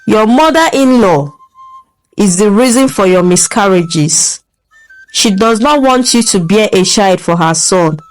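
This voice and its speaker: A woman voicing an elderly male prophet, declaring things slowly and solemnly. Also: mid-range voice, expressive, soft background music, natural voice. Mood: ominous.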